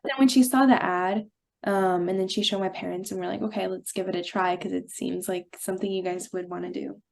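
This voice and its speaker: A woman's voice: mid-range voice, fairly even intonation, brisk, creaky, a little mellow, a little hiss or hum. A teenage girl from Brazil, a young actress answering interview questions, bubbly and hesitant with fillers. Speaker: guest actress.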